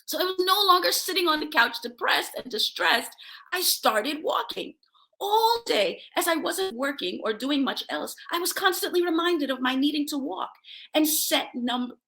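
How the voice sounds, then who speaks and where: A woman's voice: high voice, animated, normal speed, gravelly, bright, forceful tone. A woman hosting a self-help show, giving a motivational talk to viewers with personal stories, in an emphatic way that sometimes drops toward a whisper.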